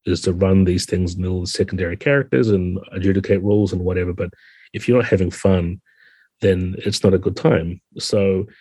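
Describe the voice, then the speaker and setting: Low, mellow New Zealand man. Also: fairly even intonation, fairly quick, breathy edge, no echo. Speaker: podcast guest, game designer. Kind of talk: relaxed interview conversation.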